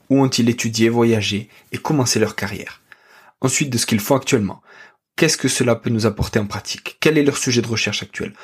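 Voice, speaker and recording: Very husky male podcast host. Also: medium pitch. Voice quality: very husky, breathy. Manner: reads intro smoothly, asks short questions. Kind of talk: scripted podcast intro and interview questions.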